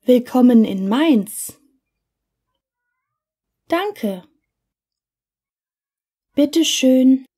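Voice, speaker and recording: A woman's voice: high voice, animated, very slow, long pauses, mellow, slightly rough. A woman language teacher reading scripted example dialogues for beginners in careful, simple short phrases.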